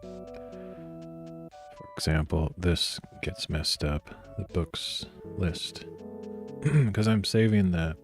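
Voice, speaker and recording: A soft-spoken man with a grainy voice, thinking aloud in a meandering way as he works through code on a live stream and often clears his throat. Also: low voice, extremely slow, pauses often, soft background music. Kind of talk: casual thinking aloud while coding.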